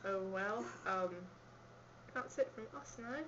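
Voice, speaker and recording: A woman's voice: mid-range voice, measured pace, fairly bright tone, highs cut off. Brief: rambling, giggly woman, light Scottish accent, faint hiss behind her. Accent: slight Scottish. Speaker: vlogger. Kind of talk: casual chat answering a questionnaire.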